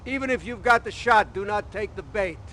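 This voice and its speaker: A man's voice: high voice, fairly quick, pushed and bright, a little hiss or hum. A man playing an authoritative navy commanding officer, giving clipped orders in a dramatic military briefing. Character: gruff, commanding male navy commanding officer briefing fighter pilots.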